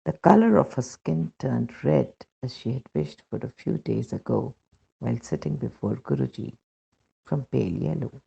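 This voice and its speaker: A man with an Indian accent reads a devotional testimony aloud as scripted narration, in a gravelly, husky voice. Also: mid-range voice, lively intonation, normal speed, dark tone. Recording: dull, muffled lo-fi recording.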